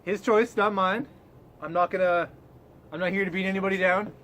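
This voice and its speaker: A man with a slightly high voice hosts a loose comedy news show. He talks in a mock-serious, profane way. Faint background noise sits behind him in an amateur recording. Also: fairly even intonation, brisk, a bit bright.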